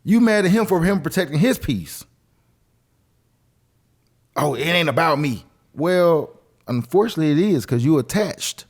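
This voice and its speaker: A man's voice: on the high side, lively intonation, moderate pace, pauses often. Emphatic man in casual podcast chat. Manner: emphatic, repeats phrases for effect. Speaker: podcast co-host.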